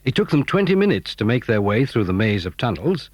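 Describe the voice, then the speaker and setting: A man's voice: medium pitch, sing-song, fairly quick, no echo. A man narrating a scripted children's adventure story.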